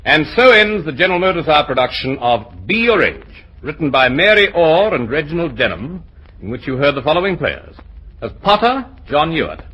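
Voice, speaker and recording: A man with a husky voice announcing in formal, scripted old-time radio style, measured and deliberate. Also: a little high, sing-song, sharp tone, low-quality recording.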